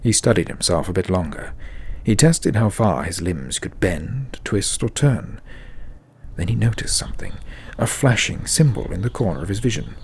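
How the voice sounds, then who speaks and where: A man's voice: on the low side, animated, normal speed, a little hiss or hum. A man narrating a scripted fantasy audio drama as the storyteller.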